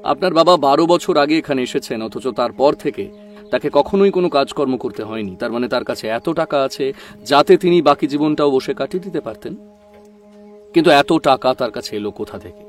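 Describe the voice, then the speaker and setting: অভিনেতার কণ্ঠে অনুসন্ধিৎসু, স্থির গোয়েন্দা, মাঝারি স্বর. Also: male voice, medium pitch, expressive, soft background music, close to an everyday voice. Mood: analytical. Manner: inquisitive, deliberate. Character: probing, composed male detective questioning a client. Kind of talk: dramatized audio detective story dialogue.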